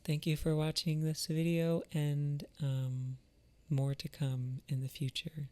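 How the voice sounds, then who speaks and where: A man talking in a casual, reflective monologue, thoughtful and halting, with a creaky voice. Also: on the high side, little pitch movement, warm tone. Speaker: artist and musician vlogger.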